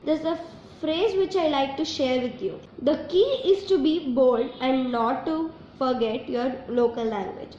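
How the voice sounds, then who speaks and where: Indian lady, high voice, echoey dull recording with a bit of hiss. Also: a little slow, hardly any pauses, mellow. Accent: Indian English. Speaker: interviewee. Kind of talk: prepared statement. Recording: echoey, dull and slightly noisy.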